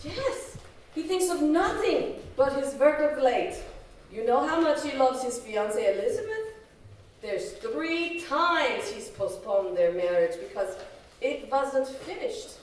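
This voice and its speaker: An actress playing an anxious, easily scandalised housekeeper in an old-time radio horror drama, with a dramatic, worried delivery. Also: female voice, sits high, sing-song, slow pace, heavily acted. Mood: worried, horrified. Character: anxious, fretful housekeeper Madame Maurice, scandalised. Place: live stage show in a community hall. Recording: echoey community hall, far from mic.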